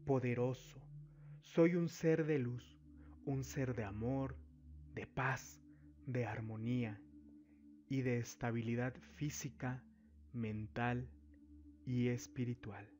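A man narrating a guided meditation as a spiritual guide, giving deliberate instructions in short phrases with long pauses. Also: mid-range voice, fairly even intonation, a little mellow. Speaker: spiritual therapist, meditation guide and channel host. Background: background music.

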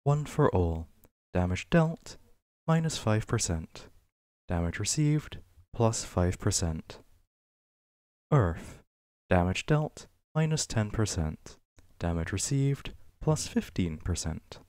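A man reading reference text aloud for ASMR in a measured, list-like way, with pauses between items. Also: fairly low pitch, sing-song, darkish tone, no room sound, clean studio sound. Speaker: ASMR reader. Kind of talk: ASMR reading of a game wiki page.

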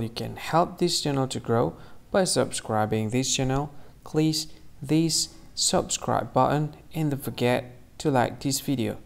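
Gravelly man explaining in simple words. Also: mid-range voice. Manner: repetitive, simple wording, slightly halting. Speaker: quiz answer channel host. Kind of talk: plain scripted explainer.

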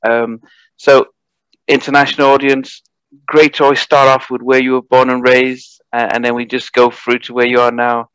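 Um homem apresentador fazendo perguntas amigáveis e um pouco hesitantes numa entrevista, usando microfone de headset. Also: male voice, mid-range voice, little pitch movement, normal speed, a bit bright, smooth, dry recording, rough recording. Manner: friendly, hesitant questioning. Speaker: podcast host and interviewer. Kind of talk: interview questions. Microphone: headset mic.

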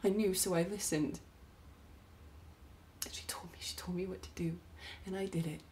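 A woman performing a theatrical monologue as an unstable, self-loathing young woman, confessing in a halting, volatile way. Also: mid-range voice, slight background noise, lightly acted. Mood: haunted, hushed. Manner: halting, stammering confession swinging between whispers and cries.

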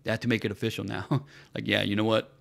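Gravelly, sarcastic male commentator. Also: lowish voice, flat delivery, fast talker, a bit bright. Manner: sarcastic, repetitive rhetorical questions. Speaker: commentary channel host. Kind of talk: mocking commentary on entertainment news.

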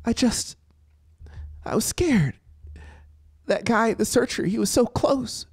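A man voicing a frightened, remorseful young girl, an AI, in a thin falsetto with halting, timid delivery for an audiobook. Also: lively intonation, slightly rough, full character voice. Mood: remorseful, scared. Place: small home music studio, indoors. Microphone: close studio microphone. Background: music soundscape.

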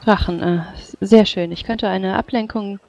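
A woman's voice: medium pitch, fairly even intonation, moderate pace, creaky, darkish tone. A woman narrating and speaking in character in a casual tabletop fantasy game.

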